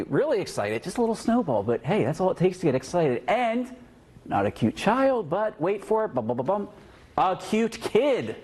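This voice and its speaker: A man's voice: fairly high pitch, a little mellow, husky. A man presenting a chatty television segment in a playful, informal way. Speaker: TV news presenter. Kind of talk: chatty ad-libbed viewer photo segment.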